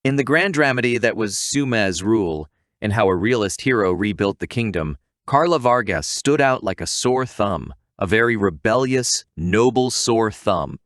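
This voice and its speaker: A man's voice: lowish voice, animated, normal speed, a bit bright, no echo, studio quality. A man reading a scripted recap narration in a wry, tongue-in-cheek storytelling manner.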